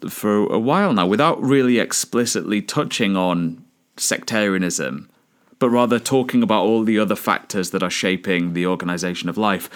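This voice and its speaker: A man with a lowish voice asks an author about her book. He is measured and polite, repeating small words as he builds each academic question. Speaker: interviewer.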